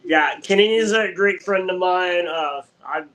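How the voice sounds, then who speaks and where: A man's voice: sits high, measured pace, a bit bright. A young man hosting a podcast, asking questions in a friendly way with lots of fillers. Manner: friendly, hesitant with many fillers. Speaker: podcast host. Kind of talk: casual podcast interview.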